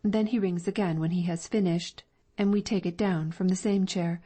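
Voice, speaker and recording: A woman's voice: lowish voice, steady tone, normal speed, mellow, slightly rough. A woman reading as a deferential landlady. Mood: earnest. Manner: plain, deferential reporting. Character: anxious, respectful older landlady. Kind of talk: audiobook reading.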